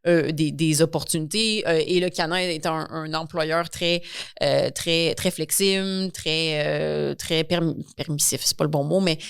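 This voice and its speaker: A woman's voice: low voice, fairly even intonation, unhurried, vocal fry, smooth. A woman with a Quebec French accent answering as a guest in a conversational podcast interview, often repeating words as she searches for phrasing. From Quebec, Canada. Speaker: interview guest, newcomer reception coordinator.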